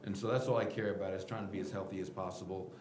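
A middle-aged man, a basketball head coach, answering reporters' questions at a post-game press conference podium with a table mic, candid and animated. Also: deep voice, racing, reverberant, background noise. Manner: candid, animated, gestures while explaining. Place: press room at team podium. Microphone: table mic in front of him.

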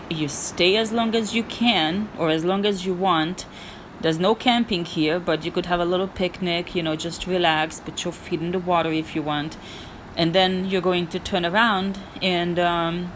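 A woman's voice: on the low side, a little slow, slight background noise. A woman narrating her hiking vlog in a casual, earnest, encouraging way, with small restarts. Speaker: hiking vlogger.

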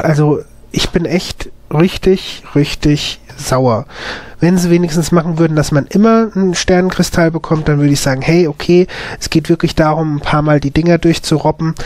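A man with a mild cold hosts a casual, unscripted game commentary. His voice sits a little high. He often hesitates to find his words, and the sound is very dry, with no room echo. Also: darkish tone. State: has a slight cold. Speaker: gaming video host.

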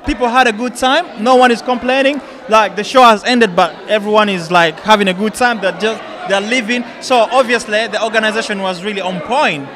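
A man's voice: high-pitched, fairly quick, hardly any pauses. A young man speaking casually as an interviewed event guest, into a handheld microphone. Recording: loud event crowd behind.

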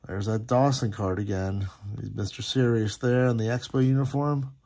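A man with a husky voice and plenty of fry in it hosts a hobby video from a tabletop indoors. He talks casually as he goes, reading names aloud and chatting appreciatively about what he sees. His pitch sits in an ordinary male range. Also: steady tone, normal speed, darkish tone. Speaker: host opening sports card packs. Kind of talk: casual running commentary.

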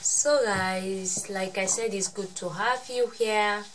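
A woman in her thirties hosts a casual home vlog at an unhurried pace, doubling back on words as she talks to her viewers. Her voice sits in a middle range. She is recorded indoors in a small room, with faint noise in the background. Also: medium pitch. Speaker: vlogger host. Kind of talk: casual family vlog chat.

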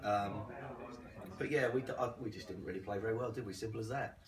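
Man, low voice, dry understated, far from mic, echoey room, chatter behind. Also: quick pace. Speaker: team captain and acting manager. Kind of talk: casual post-match interview. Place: small clubhouse room.

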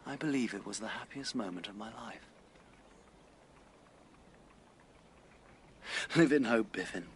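An actor playing a proud, melancholy, impoverished Victorian writer in a radio drama, with an earnest, literary English delivery. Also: male voice, lowish voice, lively intonation, fairly quick, slight background noise. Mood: dreamy, earnest. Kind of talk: BBC radio drama acting.

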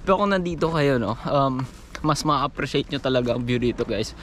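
A man's voice: medium pitch, animated, fairly quick, a bit bright. A young man from the Philippines vlogging casually as he hikes, chatty and out of breath, with the camera held at arm's length outdoors. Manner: chatty, exclaims at the view, out of breath while climbing. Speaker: travel vlogger. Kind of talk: casual travel vlog, mixing Filipino and English. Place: outdoors on a hillside in an old stone town. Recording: outdoor noise in the background.

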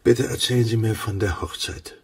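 An actor playing a discreet, probing older gentleman in a German radio play, with a gravelly, husky voice. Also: fairly low pitch, steady tone, normal speed. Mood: probing, careful.

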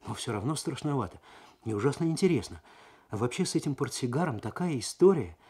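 A man voicing an excitable, curious young woman with a light, wondering manner. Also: mid-range voice, fast talker, breathy edge. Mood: nervous excitement.

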